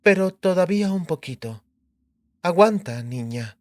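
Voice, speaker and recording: A man voicing a stern, commanding sorceress in a dramatised audiobook, with a measured, authoritative manner. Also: sits high, animated, no room sound. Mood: firm.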